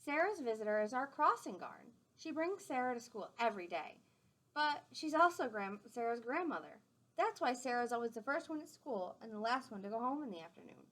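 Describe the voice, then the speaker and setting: A woman reading a picture book aloud to young children in an expressive, teacherly way, with simple explanatory asides. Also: fairly high pitch, fairly quick.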